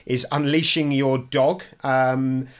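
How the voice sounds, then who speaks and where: A man's voice: mid-range voice, level tone, takes it slowly. Jokey, laughing man co-hosting a podcast. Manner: jokey, self-mocking, laughs often. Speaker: podcast co-host. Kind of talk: casual podcast banter.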